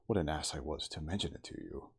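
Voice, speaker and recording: A man voicing a gruff, evasive, hard-drinking storybook character who speaks in a brusque, deliberate, offhand way. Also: a bass voice, heavily acted. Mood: gruff, rueful.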